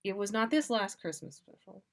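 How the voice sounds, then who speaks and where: A woman's voice: mid-range voice, moderate pace. A woman hosting a livestream, reading a story aloud in an amused, casual yet theatrical way. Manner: amused, expressive delivery. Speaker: podcast host.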